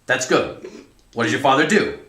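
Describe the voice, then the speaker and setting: Storybook colonel, played by a man: loud exasperated bully, bright strained pitch, echoey room. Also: normal speed, lightly acted. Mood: sneering, menacing. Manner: shouting, exasperated, badgering interrogator. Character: furious, bullying, big military colonel barking at cadets.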